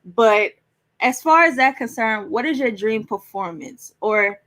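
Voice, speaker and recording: A woman's voice: on the high side, lively intonation, a little slow, a bit bright. A woman hosting a podcast, asking her guest questions in a friendly, chatty way. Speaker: podcast host. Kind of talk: casual interview questions.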